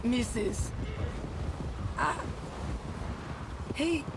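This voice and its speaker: An actress playing a shaken, grieving young frontier widow in a Western video game, distraught and faltering. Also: female voice, a little high, lively intonation. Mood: shocked, grieving. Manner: halting, faltering. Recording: very loud wind and ambient noise.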